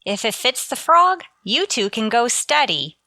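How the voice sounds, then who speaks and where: A sardonic female schoolteacher from a vintage radio comedy, played by an actress, speaks in a high, bright voice. Her dry sarcasm rides on lively swings of pitch. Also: measured pace, heavily acted. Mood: wry. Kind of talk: 1940s radio sitcom acting.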